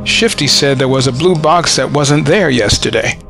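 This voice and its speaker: An actor playing a matter-of-fact American army sergeant in a war audio drama, easygoing and practical. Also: male voice, mid-range voice, animated, normal speed, over music, lightly acted.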